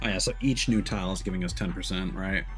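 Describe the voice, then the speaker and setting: A man's voice: low-pitched, fast. A man livestreaming a video game, thinking aloud in casual, murmured commentary. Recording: loud game music behind voice. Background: video game music.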